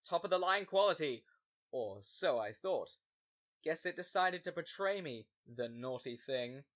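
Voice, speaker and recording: A man's voice: fairly high pitch, lively intonation, a little slow, a bit bright, muffled recording. A man voicing a shifty, cheeky witness with a folksy, evasive, blustering manner in a fan audio drama. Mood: boastful. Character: shifty, cheeky male animal witness on the stand.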